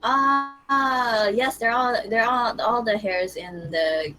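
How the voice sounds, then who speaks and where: A woman's voice: fairly high pitch, slow pace, poor audio. A woman born in the United States whose first language is Vietnamese, chatting casually as a webcomic guest, rambling with many restarts and repeated words. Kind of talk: casual chat.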